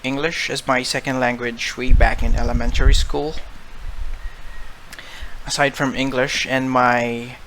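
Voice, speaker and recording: A man's voice: medium pitch, flat delivery, unhurried, long pauses, fairly bright tone, slight background noise, poor audio. A man from the Philippines with a Filipino accent, whose first languages are Tagalog and Cebuano, giving a careful recorded self-introduction. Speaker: software engineer giving a sample self-introduction.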